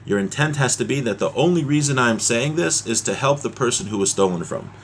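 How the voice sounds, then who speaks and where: A man's voice: medium pitch, expressive, fairly quick, a little hiss or hum. A man giving an informal religious lesson as a teacher, explaining a text with everyday examples.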